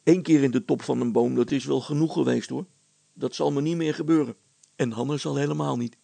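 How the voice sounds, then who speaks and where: Man as a gravelly, breezy, confident village smith. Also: medium pitch, brisk, dark tone, no echo. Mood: calm, amused. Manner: breezy, reassuring, matter-of-fact. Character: cheerful, confident male village smith and inventor.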